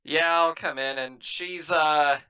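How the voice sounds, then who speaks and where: Bright-voiced man chatting over a game. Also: a little high, steady tone, slow, clear, resonant tone. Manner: informal, jokey. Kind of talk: casual tabletop game chat.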